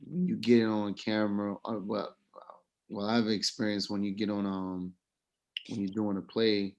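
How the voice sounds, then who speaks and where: A man's voice: fairly low pitch, little pitch movement, measured pace, a little mellow, lo-fi sound. A man in a casual group discussion, sharing acting experience in a loose, colloquial way.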